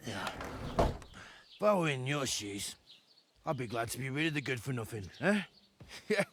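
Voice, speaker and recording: An actor playing a villager who jeers at someone, outdoors with birdsong. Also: male voice, mid-range voice, very animated, unhurried, heavy background noise. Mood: jeering.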